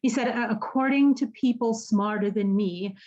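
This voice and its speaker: An actress playing an earnest, haunted woman in an audio drama, speaking haltingly and searching for her words. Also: on the high side, darkish tone, highs cut off, natural voice. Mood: tender, reflective. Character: earnest, haunted woman running an apocalypse support group. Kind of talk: naturalistic podcast radio drama acting.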